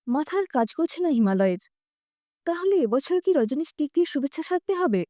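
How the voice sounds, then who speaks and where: A woman narrating a scripted short story in an expressive storytelling style. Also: high voice, moderate pace, dry recording, clean studio sound.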